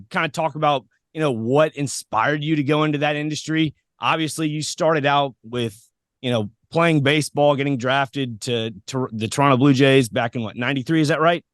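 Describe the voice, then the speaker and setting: A man's voice: on the high side, fairly bright tone, no room sound, clean studio sound. An American man hosting a podcast, chatting in a casual, rambling, informal way as he interviews. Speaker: podcast host. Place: podcast set.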